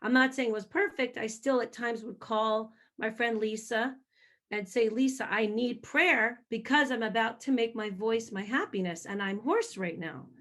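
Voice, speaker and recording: A woman singer answering interview questions about her faith, telling her story in a lively, hyper way. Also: fairly high pitch, moderate pace. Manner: animated storytelling, self-described hyper.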